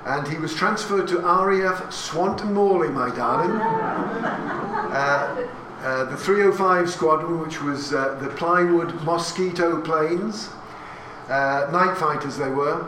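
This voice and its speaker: A man's voice: fairly high pitch, expressive, slow. An English man in his sixties tells an audience a family story in a chatty, anecdotal way, with asides and questions to the listeners. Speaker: speaker giving a talk. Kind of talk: talk to a live audience about family history. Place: hall with an audience. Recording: echoey room recorded from a distance, with audience noise.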